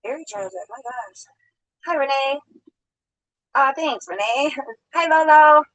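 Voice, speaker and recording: Woman streamer, high voice, rambling chatty greetings, dry close sound. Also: unhurried, fairly bright tone, dry recording, dull audio. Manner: rambling, greets viewers, laughs often. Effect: sped-altered playback. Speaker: livestreamer. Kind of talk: casual live-stream chat.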